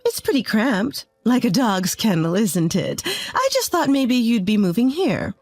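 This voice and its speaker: Storytime role: scornful, pushy middle-aged mother-in-law voiced by a woman, lifted pitch, dismissive and needling. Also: very animated, moderate pace, darkish tone, no room sound, faint music behind. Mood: cheerful, needling.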